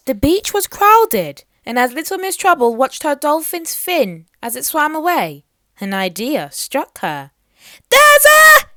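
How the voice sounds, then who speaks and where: A woman reading a children's picture book aloud as an expressive storyteller. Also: high voice, dry recording, clean studio sound.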